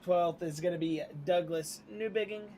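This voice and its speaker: Guy running down the race lineup, plain steady read, slightly high voice. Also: normal speed, a little hiss or hum. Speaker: sim racing announcer. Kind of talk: reading out the starting grid.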